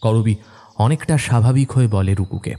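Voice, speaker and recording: A man's voice: lowish voice, moderate pace, warm tone. A man narrating a scripted horror story in a storyteller's delivery. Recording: music under the voice.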